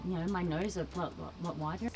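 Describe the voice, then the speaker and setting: A woman's voice: fairly low pitch, fairly even intonation, fairly quick, over music. A woman in her thirties giving chatty, casual interview answers with frequent giggles. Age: 30s. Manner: chatty, giggles between phrases.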